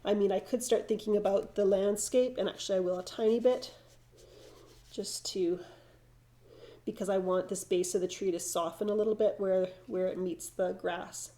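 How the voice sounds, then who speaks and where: A woman's voice: medium pitch, moderate pace. Stuffed-up woman teaching watercolor. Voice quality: slightly froggy, nasal. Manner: relaxed, thinking aloud while painting, frequent restarts. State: stuffed up from hay fever. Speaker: watercolor instructor. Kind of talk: live painting demonstration.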